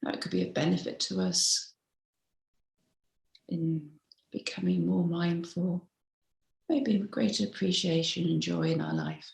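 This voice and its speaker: A woman mindfulness teacher leading a slow guided meditation in a gentle, unhurried, instructive way. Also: fairly low pitch, fairly even intonation, creaky. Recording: roomy, echoey sound.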